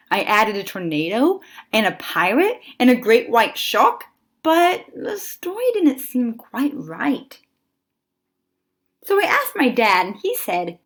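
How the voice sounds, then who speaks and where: Animated woman reading a children's story. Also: on the high side. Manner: animated, deliberate phrasing with dramatic pauses. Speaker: read-aloud storyteller. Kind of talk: expressive children's picture book read-aloud.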